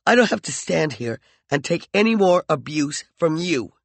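A proud winged woman, quick to fury and sharp in her indignation, as a male narrator puts her on. The voice sits raised and swings widely, with a gravelly edge. The sound is dry and close. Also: fairly high pitch, big pitch swings, no room sound, voice pushed up, full character voice. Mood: indignant.

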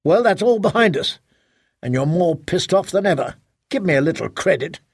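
A defensive novelist husband argues hotly, exasperated and sharp. A man voices him in an audiobook, pitched a little above his own voice. The recording is dry and close. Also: lively intonation, normal speed, breathy edge, no room sound, full character voice. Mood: angry. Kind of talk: audiobook character voice.

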